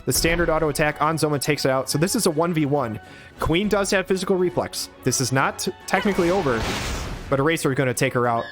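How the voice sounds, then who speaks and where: A man in his thirties giving quick, excited play-by-play of a game on a headset mic, his voice hoarse from a cold. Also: fairly high pitch, music in the background. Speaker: game caster. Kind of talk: play-by-play commentary.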